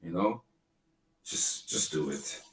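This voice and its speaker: A man with a very low, deep voice co-hosts a podcast. He chats in a loose, rambling way, swearing freely and drifting from one thought to the next. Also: lively intonation, slow pace, crowd noise. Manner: laid-back, rambling, profane. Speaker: podcast co-host. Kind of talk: casual chat.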